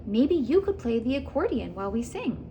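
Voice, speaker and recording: A woman's voice: on the high side, very animated, moderate pace, dark tone, highs cut off, pitched above the performer's own voice. An eager, joyful young girl from a storybook, played by a woman, with a lifted, lilting voice that rises and falls freely. The recording has noticeable noise. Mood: hopeful.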